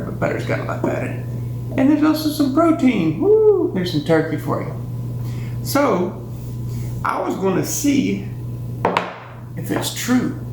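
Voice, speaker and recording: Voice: mid-range voice, vocal fry. A science teacher doing a playful hands-on demonstration for children, exclaiming with delight as the experiment works. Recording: echoey room with steady hum.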